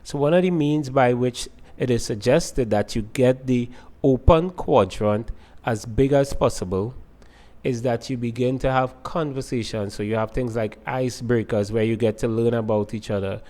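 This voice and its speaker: Man giving a measured lecture. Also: medium pitch, a little mellow. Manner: explains step by step with repeated phrasing. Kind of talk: recorded lecture to students.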